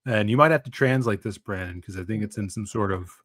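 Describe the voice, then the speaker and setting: A man's voice: lowish voice, fast, fairly bright tone, no room sound, clean studio sound. Wry male comics podcast co-host. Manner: wry, teasing, shows clips on screen. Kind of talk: casual comics chat.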